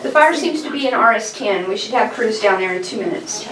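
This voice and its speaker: Brusque, hurried control-room guy on a call, read by a woman, roomy sound, chatter around. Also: mid-range voice, lively intonation, voice pushed up, natural voice. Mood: busy, brusque. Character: busy, brusque male control-room worker on the phone. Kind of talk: amateur script table read. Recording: echoey room, distant mic, people around.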